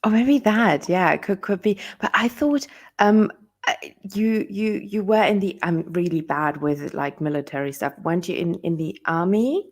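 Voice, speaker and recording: A young woman with a German accent, a guest chatting over a video call, hesitant with many ums and quick laughs. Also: fairly low pitch, lively intonation. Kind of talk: casual interview chat.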